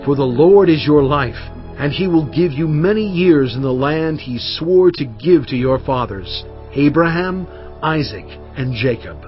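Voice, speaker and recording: A man's voice: on the high side, expressive, unhurried. An actor playing an aged prophet and leader, solemn and fervent, addressing his people in a dramatized scripture reading. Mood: solemn urging. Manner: solemn, emphatic oratory. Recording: muffled audio with music underneath.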